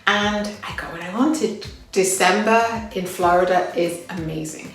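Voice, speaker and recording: English woman, casual upbeat guide, mid pitch, roomy off-mic sound. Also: unhurried, recorded from a distance. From England. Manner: chatty and upbeat, with fillers and restarts. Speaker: local living guide. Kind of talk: casual talk to camera.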